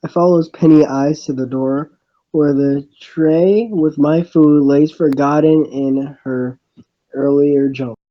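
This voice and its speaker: A man's voice: fairly high pitch, steady tone, slow pace. Man playing a wry young student, dull recording. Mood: narrating. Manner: dry, sardonic. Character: wry, bemused young male student narrating his thoughts. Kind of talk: first-person visual novel narration.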